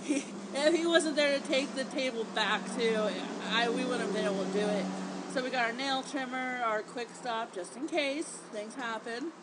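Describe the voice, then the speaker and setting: A chatty woman co-hosts a casual podcast, her voice stuffy and congested from a cold. She sits a little high for a woman and laughs easily. There is a clear background hiss on the recording. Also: moderate pace. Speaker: podcast co-host, groomer. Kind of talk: casual chat. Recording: noisy home recording.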